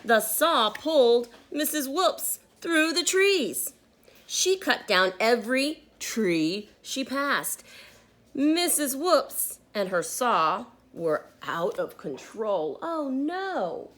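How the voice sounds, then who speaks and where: A woman reading a children's book aloud like a teacher, deliberate and pausing so listeners can join in. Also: a little high, big pitch swings, a bit bright. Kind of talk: interactive children's read-aloud.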